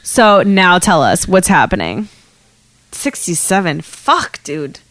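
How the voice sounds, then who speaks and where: A woman's voice: on the low side, big pitch swings, takes it slowly, fairly bright tone, no room sound. An American woman in her thirties co-hosting a podcast, bantering casually in an irreverent, exclamatory way with plenty of swearing. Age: 30s. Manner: irreverent, profane, exclamatory, giggly. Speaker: podcast co-host. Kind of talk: casual banter about the news.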